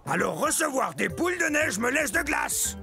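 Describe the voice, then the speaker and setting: An actor voicing a big, good-natured, goofy woolly mammoth, cheerfully chatting to viewers in an educational cartoon. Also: male voice, very high-pitched, normal speed, gravelly, faint music behind, exaggerated voice. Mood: chatty, playful. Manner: cheerful, expressive.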